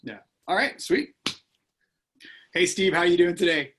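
A man hosting a casual faith podcast, talking in a relaxed, friendly way with plenty of fillers. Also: high voice, unhurried, a little hiss or hum. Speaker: podcast host.

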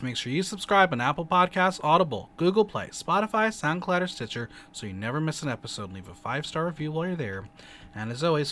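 A man's voice: a little high, bright, forceful tone, a little hiss or hum. Chatty man hosting a podcast. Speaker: podcast host. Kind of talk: casual podcast chat.

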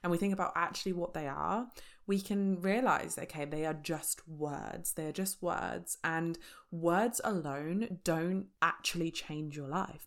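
A woman hosting a casual solo podcast, chatty and laughing often between fillers, her voice a little croaky from a sore throat. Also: low voice. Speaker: podcast host.

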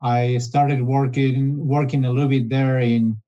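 A man's voice: medium pitch, flat delivery, unhurried, warm tone, dull audio. A man, talking as a guest in an interview, often restarting his phrases. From Argentina. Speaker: guest, business development director. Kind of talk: casual interview conversation.

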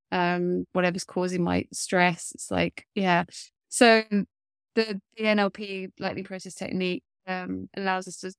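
A woman's voice: medium pitch, dry recording, studio quality. A woman in her forties, a coach speaking as an interview guest, talking earnestly with a blocked-up nose and many fillers. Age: 40s. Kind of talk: casual interview chat.

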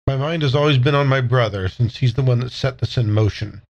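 A man's voice: mid-range voice, fairly quick, highs cut off. A man talking casually as a player in a tabletop role-playing game, describing and speaking for his character. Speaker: player in an actual play podcast.

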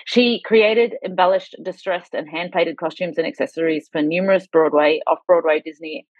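A woman hosting a podcast interview, with a husky voice. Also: low voice, no echo, highs cut off. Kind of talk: scripted introduction and conversational interviewing.

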